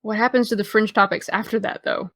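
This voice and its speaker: A woman's voice: medium pitch, fairly even intonation, quick pace, a bit bright, no echo, clean studio sound, natural voice. A young American woman playing a guarded, dryly witty teenage girl in understated, naturalistic audio drama dialogue. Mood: guarded, concerned.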